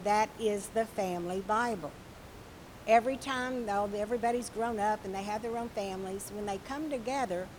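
Raspy woman telling a Bible story. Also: mid-range voice, a little hiss or hum, poor audio. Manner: expressive storytelling with gasps and questions. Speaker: children's church teacher. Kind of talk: Bible story and lesson for children.

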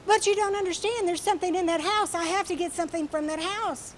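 A woman voicing a frantic young woman whose house is on fire, crying out in a high, loud, raspy voice. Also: fast, slight background noise. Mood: pleading. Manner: shrill, pleading cries.

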